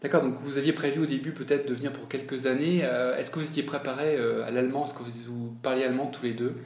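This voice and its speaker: A man's voice: medium pitch, fairly even intonation, quick pace, a little mellow. A man hosting a podcast interview, asking long rambling questions with restarts. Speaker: podcast host. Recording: roomy echo.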